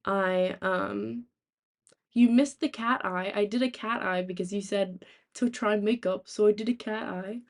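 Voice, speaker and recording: Raspy young woman, slow and halting. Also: mid-range voice, fairly even intonation. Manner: halting, rambling, thinking aloud. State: sick with a sore throat. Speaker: live streamer. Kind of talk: casual chat with live stream viewers. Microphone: laptop, without usual microphone.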